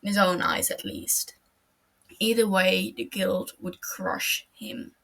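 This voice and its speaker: A woman's voice: medium pitch, fairly even intonation, slow pace, gravelly, a bit bright, rough recording. A woman narrating a fan fiction chapter aloud in an earnest reading voice, loosening into a chatty, filler-filled tone when speaking for herself. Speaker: podfic reader.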